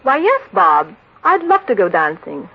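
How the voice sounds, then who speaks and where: An actress playing a cheerful, peppy young office secretary in a 1940s radio advert. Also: female voice, high voice, wildly swooping pitch, measured pace, vocal fry, slightly rough, exaggerated voice. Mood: bright, chipper. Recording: dull old radio recording.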